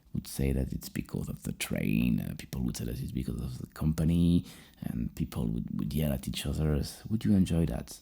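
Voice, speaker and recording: Super low mellow guy doing soft asmr therapist talk, kinda rambling and hesitant. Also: vocal fry, husky. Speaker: ASMR performer playing a psychologist.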